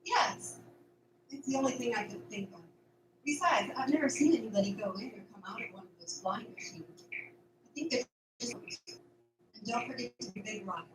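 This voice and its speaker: A woman's voice: a little high, moderate pace, natural voice. A woman playing a wary, kind-hearted dairy farm hand in an audio drama. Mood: conspiratorial. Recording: roomy, distant, noisy amateur recording.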